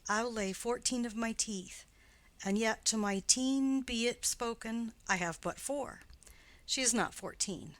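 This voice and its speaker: A woman voicing a garrulous, fond old nurse in a dramatic play reading, rambling and jumping up loud on the lines she quotes. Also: a little high, a little slow, no room sound. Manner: chattering, swooping up loud on quoted exclamations.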